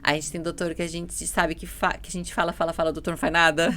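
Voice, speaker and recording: Female podcast guest. Casual and outspoken, slightly low register with heavy fry, repeats points for emphasis. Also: little pitch movement, quick pace, fairly bright tone. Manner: blunt, emphatic, repeats herself, quotes dialogue. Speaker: podcast guest, dental mentoring business partner. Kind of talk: casual podcast conversation, animated storytelling.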